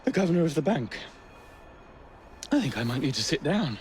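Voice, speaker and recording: A man's voice: fairly high pitch, big pitch swings, fairly quick, slight background noise. A man voicing a proper, slightly pompous police detective in a video game, formal and flustered, with an English accent.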